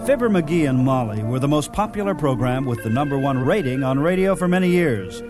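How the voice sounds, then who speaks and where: A man hosting a radio program, reading scripted narration in a measured announcer's delivery. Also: medium pitch, animated. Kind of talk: scripted narration, old-time radio presentation. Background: music.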